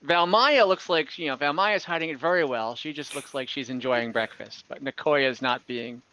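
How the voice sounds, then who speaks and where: Male game master, slightly high bright voice, casual refereeing, recorded close and dry. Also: normal speed, dull audio, slight background noise.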